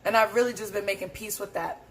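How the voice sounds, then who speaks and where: A hoarse woman hosting her own podcast, talking through her thoughts in a rambling, earnest way. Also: medium pitch, steady tone, fast talker. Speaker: podcast host. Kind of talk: casual solo reflection.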